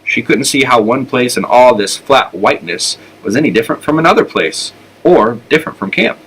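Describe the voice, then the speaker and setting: A man reading a children's story aloud with expressive narration. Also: mid-range voice, fairly quick, slightly rough, poor audio. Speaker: elementary school storyteller.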